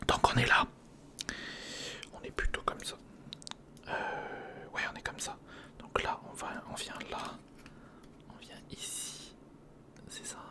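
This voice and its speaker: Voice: takes it slowly, long pauses. Whispering ASMR creator. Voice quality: breathy, unvoiced whisper. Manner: muttering to self, repetitive, informal slang. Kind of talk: whispered ASMR, casual running commentary while building. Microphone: close-up studio condenser or handheld recorder.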